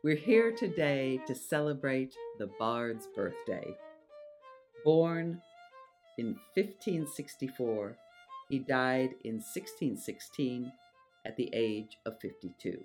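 A presenter giving scripted narration and reciting verse in a measured, deliberate, theatrical way. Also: medium pitch, animated, darkish tone, soft background music. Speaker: presenter and reader.

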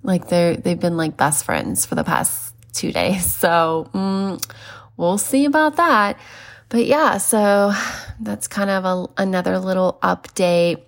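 Podcast host, woman in her 30s, stuffy and nasal from congestion, chatty and unhurried, fillers and small laughs throughout. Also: lowish voice, expressive. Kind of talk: casual solo podcast chat.

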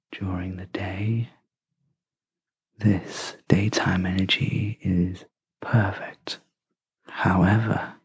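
A guide leading a sleep meditation in a breathy half-whisper, gentle and soothing, with long pauses between short phrases. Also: deep voice, no room sound. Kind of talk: guided sleep meditation, soft scripted narration.

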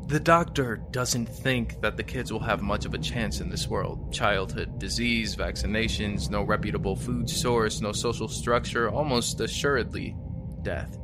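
Man acting lonely male survivor, lowish pitch, halting, wistful, dryly wry, post-apocalyptic audio fiction, music underneath. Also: natural voice. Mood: brooding. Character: lonely, wistful survivor of a ruined world, broadcasting messages.

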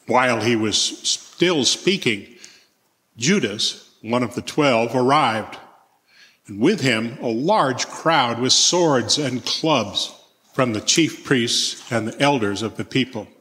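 Male scripture reader for narration, bright and forceful, recorded in a reverberant church. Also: mid-range voice, expressive, slow. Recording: echoey church hall, long reverb.

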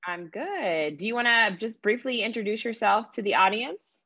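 Bubbly woman hosting a lifestyle bit, bright voice, dull lo-fi audio. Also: on the high side, expressive, moderate pace. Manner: upbeat, eager. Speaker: lifestyle segment host. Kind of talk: interview hosting.

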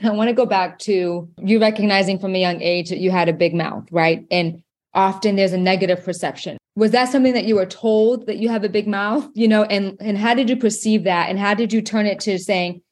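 A woman's voice: mid-range voice, fairly even intonation, brisk, darkish tone. A woman interviewer asking a probing question in a conversational way.

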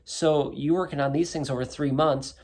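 A man's voice: on the high side, fairly even intonation, normal speed, a little mellow. A man in his thirties hosting a solo wellness show, talking in a conversational way and often adding "right?" to check in with listeners. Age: 30s. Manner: conversational, keeps asking "right?". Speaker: podcast host and wellness coach. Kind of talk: casual solo talk to listeners.

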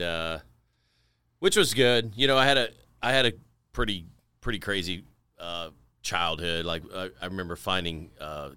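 A man's voice: sits low, sharp tone, smooth, no room sound. A middle-aged man from Tennessee, a podcast guest telling stories of his life in a rambling casual way with fillers and repeats. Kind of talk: casual interview storytelling.

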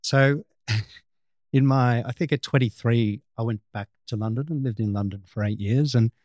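A man's voice: mid-range voice, normal speed, no room sound, studio quality. A man from Australia, a photographer, chatting casually as an interview guest, rambling and restarting his sentences. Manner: relaxed, rambling with frequent restarts.

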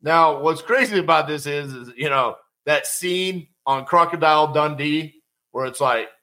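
A man's voice: fairly high pitch, measured pace. A middle-aged man telling a personal story in a casual, conversational way and acting out the quotes. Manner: conversational, animated, acts out quotes.

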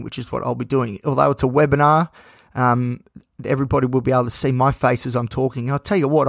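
A man hosting his own podcast, talking in a candid, rambling way with many fillers. He sounds a little croaky and nervous, with a dry mouth. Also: mid-range voice, dry recording. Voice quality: slightly croaky. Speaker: podcast host. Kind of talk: casual solo podcast monologue.

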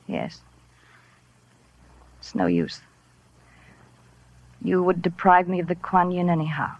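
A woman's voice: fairly low pitch, very animated, slow pace, no room sound, full character voice. An actress playing an obsessed, murderous woman professor in a 1940s radio drama, dramatic and intense. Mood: resigned, menacing. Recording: dull vintage radio recording.

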